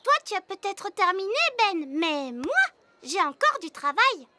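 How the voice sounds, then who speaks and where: Very high, bright little fairy princess. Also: female voice, sing-song, a little slow, slight background noise, exaggerated voice. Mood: polite, bright. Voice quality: childlike, light. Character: cheerful young fairy princess, little girl, earnest. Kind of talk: animated cartoon dubbing. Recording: light children's music underneath.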